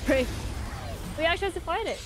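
A woman game streamer giving casual live commentary to chat in informal, halting, slangy speech. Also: very high voice. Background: game music.

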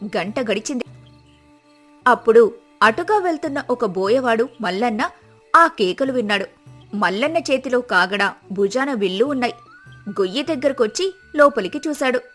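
A woman narrates a scripted moral tale in a fairly high voice. She works as the storyteller between dramatized scenes. Faint music plays behind her. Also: lively intonation, moderate pace, long pauses, a bit bright.

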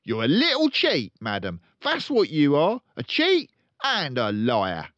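A man's voice: fairly high pitch, huge pitch swings, measured pace, a bit bright, dry recording, dull audio, voice pushed up, heavily acted. A man voicing a boastful, bullying, hot-tempered father in a storybook, blustering and pompous. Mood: angry, shouting. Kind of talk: storybook read-aloud character voice.

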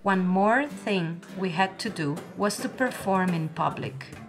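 A woman in her late twenties from Bosnia with a Bosnian accent, narrating a scripted documentary in a reflective, measured manner. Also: fairly low pitch, animated. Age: late 20s. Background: music.

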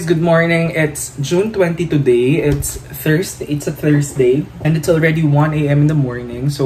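Filipino guy vlogging at home into his phone, kinda high voice, animated and chatty, little bit of hiss behind. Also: rough recording. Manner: chatty, animated, laughing asides, mixing English into Filipino. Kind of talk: casual daily vlog chat. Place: small apartment room at home at night. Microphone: phone camera at arm's length.

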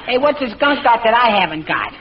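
A man's voice: extremely high pitch, full character voice. An American actor playing a flustered, wistful, bumbling father in an old radio comedy, stammering and chuckling nervously. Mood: wistful, insecure. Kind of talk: 1940s radio comedy acting. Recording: muffled, hissy old radio recording.

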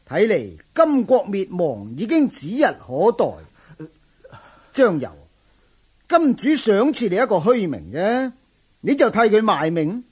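An actor playing a shrewd, persuasive general in a historical radio drama, speaking in a declamatory, forceful way. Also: male voice, sits high, husky, heavily acted. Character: zealous Han general serving the Mongols, shrewd, persuasive.

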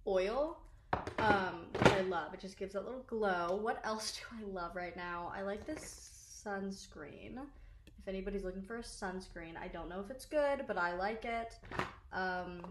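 A woman's voice: mid-range voice, roomy sound, background noise. A young woman rambling casually to the camera about her favorite makeup, with lots of "like" fillers and self-deprecating asides. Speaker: social media creator. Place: small bedroom, indoors.

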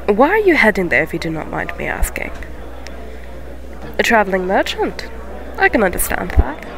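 A woman playing a gentle, motherly young cat witch who keeps a tavern, speaking softly and formally in an ASMR fantasy roleplay. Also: medium pitch, sing-song, creaky, a crowd in the background, low-quality recording. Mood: welcoming, polite. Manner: soft-spoken, formal, unhurried phrasing. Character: gentle eighteen-year-old neko witch tavern keeper, motherly, polite.

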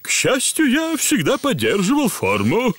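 A man's voice: very high-pitched, huge pitch swings, heavily acted. A man playing a boastful, reassuring father pig with a big belly in a children's cartoon dub. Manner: confident, reassuring, unhurried. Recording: loud music behind the voice.

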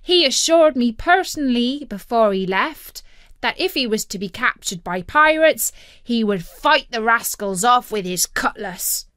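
A woman voicing a pirate crewman carefully reading aloud in a measured, declamatory way, in a children's storybook. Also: fairly high pitch, expressive, a bit bright, dry recording. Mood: formal.

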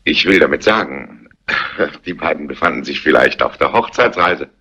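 An actor playing an awkward, evasive police inspector in a crime drama, halting and officially polite. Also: male voice, rumbling low, lively intonation, vocal fry, sharp tone, breathy edge, exaggerated voice. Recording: muffled old soundtrack.

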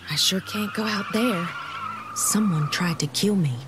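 A woman's voice: medium pitch, unhurried, noisy background, lightly acted. An actress playing a confused young woman left for dead, narrating her story in a casual, wry, hesitant way for an audio drama. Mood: wary. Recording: dramatic music behind the voice.

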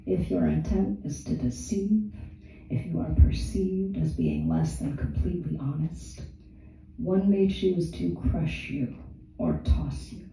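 Stern ungendered alien envoy, resonant and formal; a woman reads it – dark tone, distant mic. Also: on the low side, expressive, vocal fry, full character voice. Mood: solemn. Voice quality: resonant, lucid, rolling. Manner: slow measured formal delivery. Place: small art gallery, indoors. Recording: echoey gallery from far off.

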